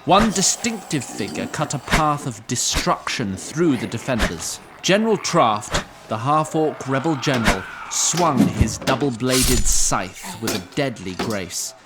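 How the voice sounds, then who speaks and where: A man narrating a fantasy audio drama in a deliberate, solemn, scripted storytelling style. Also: medium pitch, big pitch swings, very noisy. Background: music.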